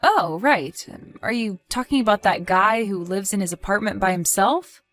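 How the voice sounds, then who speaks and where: A woman's voice: mid-range voice, normal speed, a bit bright, faint music behind, lightly acted. A woman playing a caring, cautious and practical wife, fussing conversationally in an audio drama. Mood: curious, casual.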